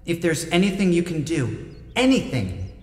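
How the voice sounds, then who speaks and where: A man's voice: on the high side, wildly swooping pitch, normal speed, voice pushed up. A man voicing a brave, resolute teenage girl in an audiobook, earnest and pleading, with an echoing telepathic effect. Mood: grave pleading. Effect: echoing telepathic-voice reverb. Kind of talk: dramatised audiobook dialogue. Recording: echoing long artificial reverb.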